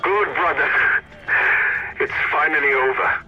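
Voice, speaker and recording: Earnest rebel comrade on radio. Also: male voice, a little high, unhurried, dull audio, over loud music. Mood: relieved, grateful. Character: earnest male rebel comrade, devout and brotherly. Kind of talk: video game cutscene acting.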